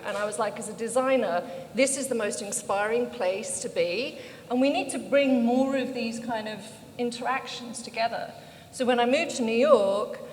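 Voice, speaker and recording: A middle-aged woman with a British accent speaks as a panelist in a conversational, storytelling style. Her voice sits a little high. The sound is reverberant, as in a hall, with faint noise behind it. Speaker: panel speaker, founder of an organization. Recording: echoey hall, background noise.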